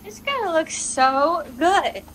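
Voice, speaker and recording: A woman's voice: very high voice, lively intonation, takes it slowly, a bit bright, a little hiss or hum. A woman chatting playfully behind the camera about woodwork during a renovation. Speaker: vlog co-host. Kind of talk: casual chat while filming.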